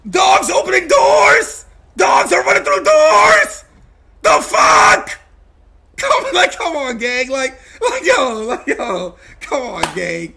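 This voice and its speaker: A man's voice: very high voice, wildly swooping pitch, slow, gravelly, bright, forceful tone, slightly rough, shouting. A man streaming a roleplay game, giving heated, slangy commentary in an exasperated, repetitive rant.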